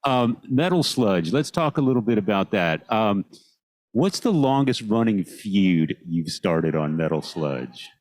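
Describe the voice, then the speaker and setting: A man hosting a podcast, asking interview questions in a measured way. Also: on the low side, sing-song, darkish tone, dry recording. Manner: measured, asks questions, short agreements. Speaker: podcast host.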